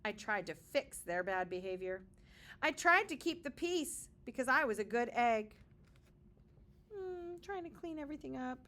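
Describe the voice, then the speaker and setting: A woman's voice: high voice, expressive, normal speed, fairly bright tone. A woman reading a children's picture book aloud with dramatic emphasis and short explanatory asides, like a kindergarten teacher.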